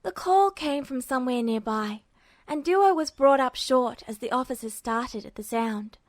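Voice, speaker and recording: Woman, high-pitched voice, scripted story narration, close dry recording. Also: animated, moderate pace, no echo. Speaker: narrator, podfic reader. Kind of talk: scripted narration of fan fiction.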